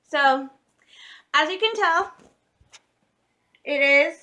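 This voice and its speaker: A thirty-year-old woman talks to her camera from home with a high, bright voice. She rambles in an easy, chatty way, full of fillers and quick laughs. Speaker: vlogger. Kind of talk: casual chat to camera.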